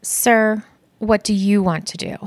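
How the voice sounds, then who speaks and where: A woman's voice: medium pitch, steady tone, measured pace, natural voice. A woman playing an ambitious, practical alien officer in an audio play, speaking in a dry, matter-of-fact, officious way. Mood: wary, questioning.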